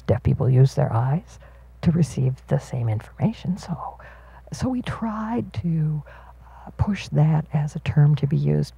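A woman voicing a signed panel talk as an interpreter, in measured, halting phrases at an auditorium panel. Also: deep voice, vocal fry, mellow, breathy edge, no room sound, low-quality recording.

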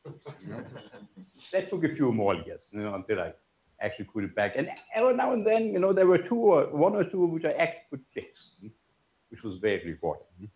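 A man's voice: fairly high pitch, huge pitch swings, a little fast, darkish tone. An older man from Germany with a German accent, giving a memorial lecture to an audience in a conference room, telling personal stories in a conversational way, full of "you know" and "yeah". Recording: roomy, slightly dull lecture room sound.

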